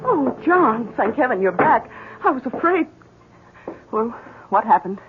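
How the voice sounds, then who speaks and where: An actress playing an anxious, gentle wife in a radio drama, with a hesitant, worried delivery. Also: female voice, a little high, big pitch swings, a little slow, noisy background. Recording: dull 1970s radio recording.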